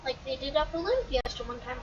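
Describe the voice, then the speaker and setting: The audio is muffled and noisy, with the speaker well away from the mic. She is a high-voiced woman making casual side conversation on a livestream. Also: expressive, fast talker, recorded from a distance. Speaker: off-mic companion of the stream host. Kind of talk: casual chat.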